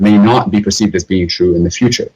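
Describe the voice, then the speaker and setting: A man answering as a debate guest, measured and cautious, hedging his claims and asking for proof. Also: sits low, darkish tone, breathy edge, dull audio.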